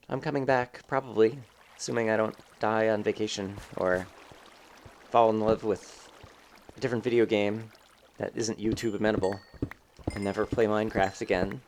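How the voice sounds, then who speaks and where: Let's play host, man, lowish voice, slightly stuffy nose, relaxed meandering commentary with fillers. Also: slight background noise. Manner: rambling, thinking aloud with many fillers. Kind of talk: casual gameplay commentary.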